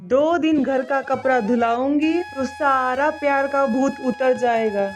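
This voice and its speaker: Bossy rich wife in a cartoon, very high voice, scolding, snooty, music behind. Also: female voice, fairly even intonation, a little slow. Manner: scolding, haughty. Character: domineering bad-tempered rich merchant's wife. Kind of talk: animated moral story dialogue.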